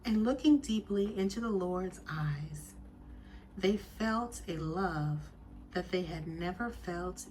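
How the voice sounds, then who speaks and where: Slow, low-pitched woman guiding meditation. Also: slight background noise, rough recording. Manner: soothing, deliberate, hushed phrase endings. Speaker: guided meditation host. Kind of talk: slow guided meditation storytelling.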